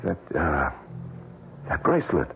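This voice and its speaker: An actor playing a guilty, uneasy wealthy widower in an old-time radio drama, hesitant, defensive and quick to indignation. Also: male voice, extremely low pitch, wildly swooping pitch, vocal fry, exaggerated voice. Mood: shocked, defensive. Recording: noisy, narrow-band old radio recording.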